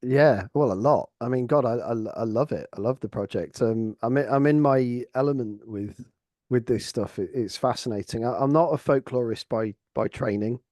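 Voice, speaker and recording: Mellow middle-aged Englishman, dry close sound. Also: mid-range voice, no echo. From Lincolnshire, England. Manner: earnest, halting, repeats words. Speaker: academic researcher and co-host. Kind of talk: reflective conversational discussion.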